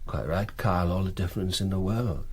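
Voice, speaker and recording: An actor playing a shrewd, eager German intelligence colonel in a wartime radio drama, with a measured, authoritative delivery. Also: male voice, sits low, breathy edge. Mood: brisk, decisive.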